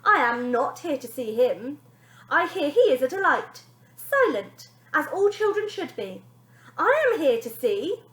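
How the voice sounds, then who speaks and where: A woman's voice: high voice, very animated, a little slow, fairly bright tone, roomy sound, pitched above the performer's own voice, heavily acted. A woman voicing a snide, bullying TV nanny in a dramatic, snappy, sneering manner for a children's story. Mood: smug, menacing.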